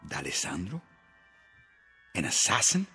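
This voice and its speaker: Radio drama suspicious male revolutionary chief, imperious, rough-edged voice, very animated pitch. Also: unhurried, big-room sound, soft background music, exaggerated voice. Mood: probing. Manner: imperious, contemptuous, probing. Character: ruthless, suspicious male revolutionary leader, contemptuous of his people.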